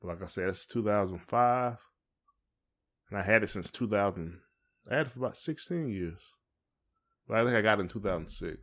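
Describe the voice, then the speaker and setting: A man's voice: lowish voice, lively intonation, brisk, pauses often, a bit bright. An American man co-hosting a podcast, talking in a casual, informal and colloquial way. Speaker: podcast co-host. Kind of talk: casual conversational chat.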